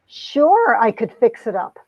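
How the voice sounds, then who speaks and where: Woman as high-voiced, lovestruck melodramatic young heroine. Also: big pitch swings, slow pace, warm tone, no room sound, muffled recording, full character voice. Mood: reflective. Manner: melodramatic, earnest. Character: lovestruck young society woman narrating her own romance. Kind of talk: dramatic reading of a 1950s romance comic, first-person narration.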